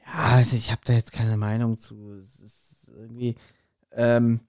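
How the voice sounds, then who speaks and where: A man's voice: mid-range voice, little pitch movement, unhurried, a little mellow, dry recording, clean studio sound. A man co-hosting a podcast in casual, colloquial chat, given to joking exaggeration. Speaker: podcast host. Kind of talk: casual chat reviewing an audio drama.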